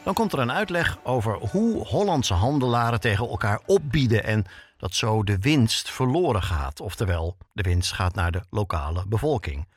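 A man with a Netherlands Dutch accent narrates a scripted podcast as a reporter and host. His pitch moves in a lively way as he tells things personally and asks rhetorical questions. Music plays clearly behind him. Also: moderate pace.

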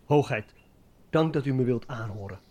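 An actor playing a deferential, calculating sea captain and slave trader in a Dutch historical audio drama. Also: male voice, mid-range voice, expressive, normal speed, very noisy, close to an everyday voice.

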